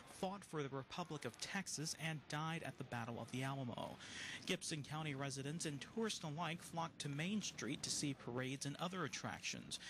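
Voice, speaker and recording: A man's voice: a little high, a bit bright. A man with an American accent reading scripted local TV news as an anchor and reporter. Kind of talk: scripted local TV newscast delivery. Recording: noticeable background noise.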